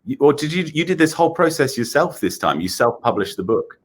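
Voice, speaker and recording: A man's voice: on the high side, sing-song, fairly quick, breathy edge. A man with an Australian accent hosting a children's show, interviewing in a casual, effusive and enthusiastic way. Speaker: host of a children's show, in character as a space captain.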